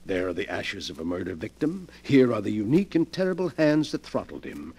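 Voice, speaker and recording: An actor playing a sly, morbid invalid gentleman who gloats with effusive politeness, in 1940s radio mystery style. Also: fairly low pitch, sing-song, fairly quick, slightly rough, heavily acted. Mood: gloating. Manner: effusively polite, theatrical, relishing his words. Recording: vintage 1940s radio broadcast.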